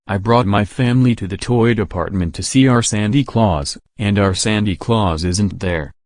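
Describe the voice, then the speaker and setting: Gruff, bossy low-voiced store boss, acted by a man. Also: moderate pace, darkish tone, dry recording, natural voice. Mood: angry, commanding. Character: gruff, bossy male department store owner, short-tempered. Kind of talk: old radio drama acting.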